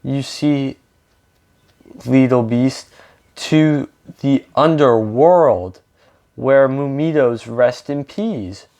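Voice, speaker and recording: A man voicing an eager pack of little Chihuahua dogs in a storybook, with emphatic, halting delivery. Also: medium pitch, a little mellow. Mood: insistent, eager.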